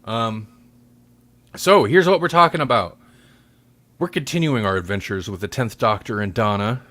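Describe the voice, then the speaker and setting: Low-voiced man bantering on a podcast. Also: normal speed, fairly bright tone. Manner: rambling, joking, self-deprecating. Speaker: podcast host.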